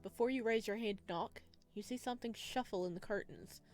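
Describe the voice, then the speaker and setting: A woman's voice: medium pitch, brisk, a little mellow. A woman hosting a gaming video, reading visual novel narration aloud and adding casual asides. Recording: music playing behind the voice.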